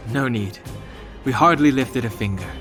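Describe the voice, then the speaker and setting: Calm, terse young man, mid-pitched, acted. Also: a little slow, close to an everyday voice. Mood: calm, matter-of-fact. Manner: understated, matter-of-fact. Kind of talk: scripted game voice acting. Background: game music.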